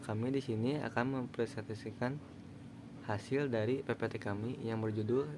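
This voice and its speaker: A young man giving an informal student presentation, explaining haltingly with casual asides. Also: medium pitch, steady tone. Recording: clearly noisy room recording.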